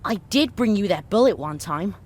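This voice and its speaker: An actor playing an eager, secretive young child with a husky voice in a video game. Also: mid-range voice, animated, normal speed, a little mellow. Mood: eager, pleading. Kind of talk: video game dialogue acting.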